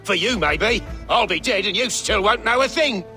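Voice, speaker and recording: An actor playing a cheeky, scornful commoner in a video game, with taunting, sing-song mockery. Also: male voice, high voice, lively intonation, moderate pace, sharp tone, faint music behind. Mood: smug, taunting. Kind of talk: video game character acting.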